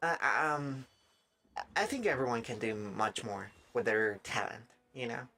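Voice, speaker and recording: A streamer with a raspy, dry-throated voice, chatting casually in a halting way and often breaking off mid-thought. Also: mid-range voice, pushed and bright. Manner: halting, self-interrupting, trailing off mid-thought. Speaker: live streamer. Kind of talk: casual rambling chat while gaming, giving art advice.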